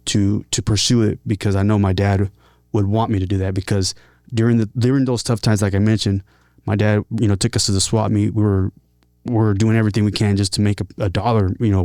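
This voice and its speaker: A man shares personal stories in a casual interview. He speaks earnestly and haltingly, often repeating words as he goes. The sound is close and dry. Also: fairly low pitch, a little mellow, dry recording. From San Diego, California. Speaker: interview guest, talkbox musician and rapper.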